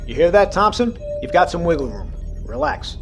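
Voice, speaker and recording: Steady submarine captain over music. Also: male voice, high voice, normal speed, vocal fry, heavily acted. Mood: calm, reassuring. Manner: commanding, steady, reassuring. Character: calm, seasoned male submarine captain, quietly resolute. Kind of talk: audio drama acting.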